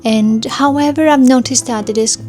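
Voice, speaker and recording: A woman's voice: sits high, moderate pace, dark tone, soft background music. A woman whose first language is Armenian, giving a casual, thoughtful vlog review in English with frequent fillers and restarts. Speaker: vlogger. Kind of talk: casual talking-to-camera review.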